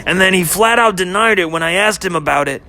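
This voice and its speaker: A man's voice: high-pitched, a little fast, pushed and bright. An actor playing a troubled young man who speaks earnestly and hesitantly about his worries. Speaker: boyfriend in second-person story.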